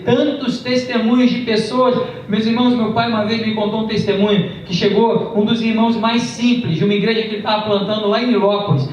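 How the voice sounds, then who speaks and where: High-voiced preacher in echoey church. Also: male voice, slight background noise. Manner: storytelling, emphatic, varying pace. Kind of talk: sermon. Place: large church hall. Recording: distant, echoey, muffled church recording.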